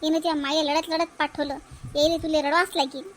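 A woman as a high-voiced, vengeful scheming mother. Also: level tone, quick pace, a bit bright. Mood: vengeful, goading. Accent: Varhadi Marathi. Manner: goading, emphatic, repetitive. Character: vengeful, scheming older mother inciting her daughter. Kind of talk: cartoon family drama voice acting.

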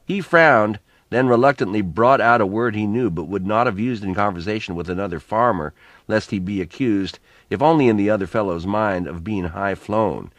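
A man's voice: lowish voice, moderate pace, dry recording. A man reading literary fiction aloud as a scripted story narration. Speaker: audiobook narrator.

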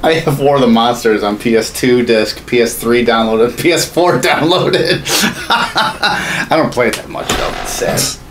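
A man's voice: mid-range voice, a little slow, fairly bright tone. A man in his thirties chatting casually, jokey and profane, wandering off topic as a co-host. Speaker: podcast co-host. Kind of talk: casual joking chat. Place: small home studio room, indoors. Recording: noisy room ambience.

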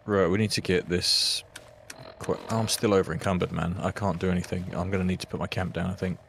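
A man chatting while playing a co-op game, quick to grumble and swear. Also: low-pitched, level tone, vocal fry, a bit bright, a little hiss or hum. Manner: quick to complain, swearing, laughing. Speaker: co-op player. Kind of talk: casual gaming chat.

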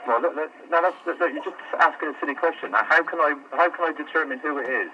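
A man's voice: a little high, quick pace, dull audio, background noise. A man working store customer service, answering a call patiently but growing exasperated, stumbling and repeating himself. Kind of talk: phone call.

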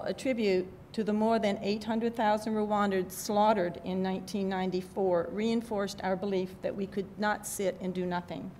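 An older woman delivers a prepared keynote from notes at a podium. Her voice sits at a middling pitch, with faint rumbling noise behind it. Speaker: keynote speaker. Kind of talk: prepared speech read from notes. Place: event hall at a podium. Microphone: podium microphone.

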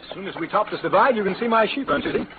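An actor playing a proud, domineering sheep rancher in a western radio drama, declaiming boastfully. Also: male voice, high-pitched, lively intonation, very fast, over music. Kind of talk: 1940s-50s western radio acting. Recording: noisy, narrow-band old radio recording.

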